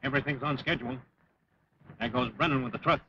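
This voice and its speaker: Tough henchman, male actor, 1940s serial: blunt, rapid patter on a dull, very noisy soundtrack. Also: mid-range voice, fairly even intonation, pushed and bright, close to an everyday voice. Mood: tough, matter-of-fact. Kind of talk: 1940s film serial acting.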